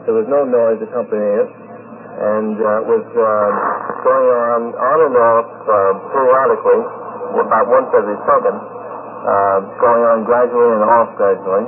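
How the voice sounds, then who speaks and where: A man from Brooklyn giving an earnest, halting eyewitness account on a vintage 1950s radio recording. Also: fairly low pitch, steady tone, phone-quality sound, a little hiss or hum. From Brooklyn, New York. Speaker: convention attendee, eyewitness interviewee.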